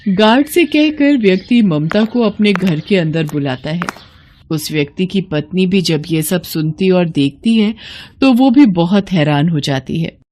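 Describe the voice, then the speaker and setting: A woman's voice: lowish voice, expressive, unhurried, a little mellow, a little hiss or hum. A woman reading scripted narration as storyteller of a children's moral tale.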